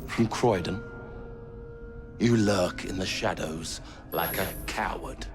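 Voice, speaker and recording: An actor playing a cold, arrogant older industrialist villain, measured and contemptuous, in a video game. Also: male voice, deep voice, music in the background. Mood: contemptuous, menacing. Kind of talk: video game cutscene acting.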